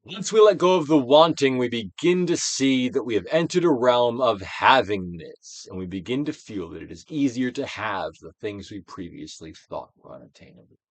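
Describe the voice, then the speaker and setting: Theatrical man reading with sweeping, animated pitch. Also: normal speed, few pauses, no echo. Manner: theatrical, emphatic, with dramatic swells and hushed drops. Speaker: actor reading a self-help book aloud. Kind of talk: theatrical dramatic reading of scripted instructional text.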